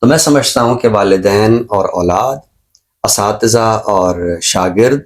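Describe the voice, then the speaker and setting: A middle-aged Pakistani man with a fairly low voice speaks as a professor on a literary program. His delivery is measured and scholarly, and he repeats phrases for emphasis. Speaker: professor and poet, literary show presenter. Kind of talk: reflective literary talk and poetry recitation.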